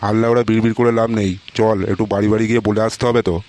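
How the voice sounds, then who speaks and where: A man's voice: fairly low pitch, level tone, rapid-fire, a little mellow, close to an everyday voice. A man voicing a scheming, boastful and crude village uncle who campaigns in a comedy cartoon. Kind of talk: Bengali comedy cartoon voice acting. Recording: noisy audio with clicks.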